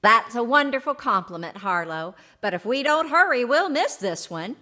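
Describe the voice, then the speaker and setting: A woman plays a patient older wife in a radio comedy, with a bright, fairly high voice. She is gracious and gently corrects in lively tones. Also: normal speed. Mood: gracious, fond. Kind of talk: old-time radio comedy acting.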